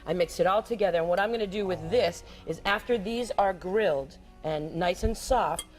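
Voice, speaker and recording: A woman's voice: lowish voice, normal speed, a little mellow, soft background music. A woman guest cook on a TV morning show, talking casually with lots of ums and easy laughs. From Irish background. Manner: rambling, many ums, laughs easily. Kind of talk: casual cooking demo chat.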